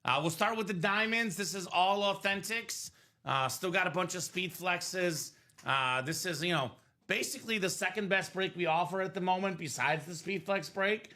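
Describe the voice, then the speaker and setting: Bright-voiced male card break host. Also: high-pitched, moderate pace. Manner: upbeat, salesy running commentary. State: voice a bit worn from singing. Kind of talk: live stream selling chat.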